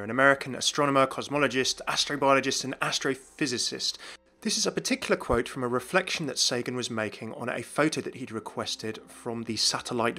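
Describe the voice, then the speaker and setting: A man's voice: medium pitch, bright, forceful tone. A man hosting a gaming news video in scripted, explanatory voice-over narration. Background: music.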